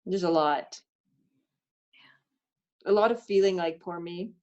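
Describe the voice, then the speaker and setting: A woman, a parent, speaking candidly and haltingly about her frustrations in a reflective self-inquiry session. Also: on the low side, darkish tone, lo-fi sound. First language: not German. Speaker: parent doing the worksheet.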